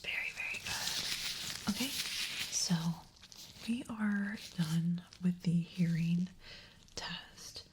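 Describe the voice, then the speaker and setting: A woman whispering softly and breathily in a gentle, reassuring way, as an examiner in an ASMR medical roleplay. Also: on the low side, slow, pauses often, poor audio.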